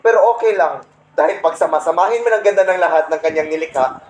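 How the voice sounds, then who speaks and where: Satan for a comic radio play, acted by a young man: high voice, pompous, bitter, scornful, in an echoey room. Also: fast, natural voice. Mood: boastful, aggrieved. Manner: pompous, scornful, punctuated with cackling laughs. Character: boastful, resentful male Satan, fallen angel leader of demons. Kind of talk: comedic radio play acting. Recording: roomy echo, faint background noise.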